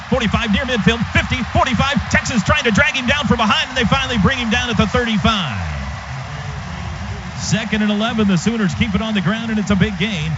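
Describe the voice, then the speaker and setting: High-voiced American play-by-play man, noisy broadcast. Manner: clipped phrases, building excitement as plays unfold. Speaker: radio play-by-play announcer. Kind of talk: live American football radio play-by-play commentary. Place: stadium radio booth. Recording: dull radio broadcast, loud stadium ambience. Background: loud outdoor stadium noise.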